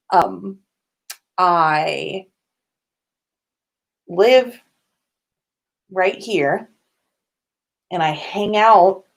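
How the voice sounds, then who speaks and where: A woman hosting a casual livestream from indoors at home, chatting informally with viewers. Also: fairly low pitch. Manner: informal, chatty, sprinkled with swearing and giggles. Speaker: podcast host on a livestream.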